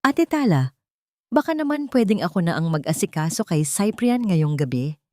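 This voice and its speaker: A woman's voice: fairly low pitch, sing-song, normal speed, warm tone, no echo, clean studio sound. A woman voicing a sly younger mistress who mocks a wife with sweetly insulting words in a drama story. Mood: falsely sweet, mocking.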